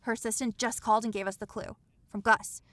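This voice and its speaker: An actress playing a blunt, sarcastic radio station staffer in a comedic audio drama, with a deadpan delivery. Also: female voice, fairly high pitch, brisk, no room sound, natural voice.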